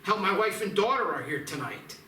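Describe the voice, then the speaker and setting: Man acting a high-voiced, distraught small-town sheriff. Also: very animated, fairly quick, bright, forceful tone, slight background noise, full character voice. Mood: uneasy. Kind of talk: dramatic screenplay table read. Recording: echoey, distant, music behind.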